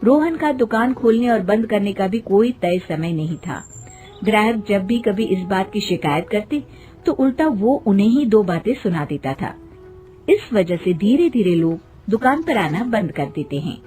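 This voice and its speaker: A woman's voice: mid-range voice, lively intonation, a little slow, warm tone, faint music behind. A woman narrator reading a scripted moral tale.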